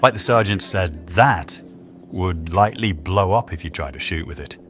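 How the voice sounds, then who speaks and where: An actor playing a laid-back junior British police detective in an audio drama, talking in a casual, colloquial, offhand way. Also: male voice, deep voice, moderate pace, a bit bright. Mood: offhand, wry.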